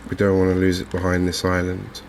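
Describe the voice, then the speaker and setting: An Englishman with a low voice gives softly spoken ASMR commentary on a game. His delivery is extremely even, gravelly at the edges, unhurried and a little hesitant. Faint noise sits behind him. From England. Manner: unhurried, hesitant, gently musing. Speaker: gaming video host. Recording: faint background noise from open windows and fans.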